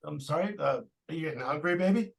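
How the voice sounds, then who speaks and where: An old-time radio family dad, acted by a man, indulgent yet firm. His pitch swoops widely through hesitant, repeated phrases, and he talks down to his listener in a patronizing way. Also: animated, fast, gravelly. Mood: indulgent, amused. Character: distracted, indulgent but firm family father. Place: live stage radio-play performance.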